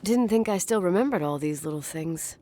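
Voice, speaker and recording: An actress plays a guarded young woman with a fairly low voice. She speaks with dry sarcasm in a naturalistic video game style. There is clear noise behind her voice. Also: lively intonation, brisk, dark tone, natural voice. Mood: dry, weary.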